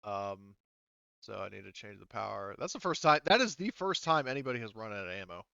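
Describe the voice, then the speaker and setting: A man's voice: on the low side, big pitch swings, a little fast, vocal fry, bright, forceful tone, clear, resonant tone, no echo, lo-fi sound. A man speaking informally as a game master, narrating play and calling rules, with some swearing. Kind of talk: casual tabletop game narration and rules calls.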